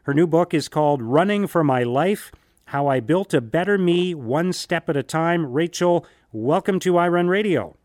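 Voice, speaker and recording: Male radio host, chatty and upbeat, slightly high voice, dry studio mic. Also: expressive, normal speed, a little mellow, no room sound. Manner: upbeat, chatty, talks in long run-on sentences. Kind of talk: conversational radio hosting.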